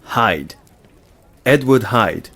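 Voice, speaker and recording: An actor playing a measured, inquisitive Victorian lawyer in a stage drama, formal, deliberate and dryly witty. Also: male voice, lowish voice, animated, bright, forceful tone, slightly rough, exaggerated voice. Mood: thoughtful, intrigued. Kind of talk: theatrical stage drama acting.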